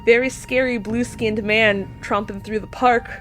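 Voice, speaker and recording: A woman from Spain chatting casually as a podcast guest, playful, animated and jokey, happy to swear. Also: fairly high pitch, unhurried, soft background music. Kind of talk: casual chat.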